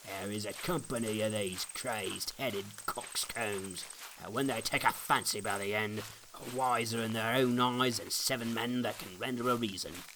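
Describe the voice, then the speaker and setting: A man's voice: lowish voice, fairly even intonation, normal speed, bright, forceful tone, a little hiss or hum, poor audio. Man acting a scoffing, stubborn neighbour. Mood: scornful. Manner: dismissive, scoffing. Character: Obstinate, scornful stubborn male neighbour. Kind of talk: dramatic reading of an old allegory.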